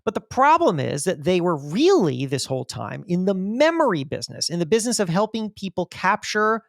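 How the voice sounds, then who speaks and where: A man's voice: sits high, very animated, brisk, gravelly, no echo, clean studio sound. A middle-aged man telling stories as an interview guest, emphatic and repeating phrases for effect. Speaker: podcast guest, magazine editor and author. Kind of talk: animated storytelling in an interview.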